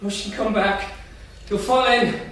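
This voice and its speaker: A man's voice: high-pitched, fairly even intonation, unhurried, a bit bright. A man playing a timid, cautious young boy in a staged script reading, recorded in an echoey theatre. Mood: anxious. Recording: echoey theatre, distant mic, noisy.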